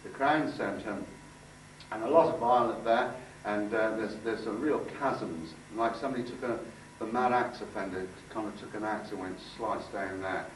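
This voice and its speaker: A man giving an informal workshop talk, halting and conversational, repeating phrases for emphasis. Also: lowish voice. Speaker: workshop speaker and aura healer. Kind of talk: informal talk with demonstration and guided visualisation. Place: indoor room before a group. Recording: echoey room, distant mic, faint noise.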